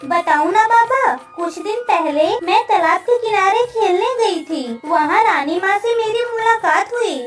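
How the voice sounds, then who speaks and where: Woman voicing a very high-pitched, stubborn little village girl. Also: lively intonation, unhurried, few pauses. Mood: earnest. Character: spirited, stubborn seven-year-old village girl. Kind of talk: Hindi children's cartoon dialogue. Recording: roomy, slightly distant sound, faint music.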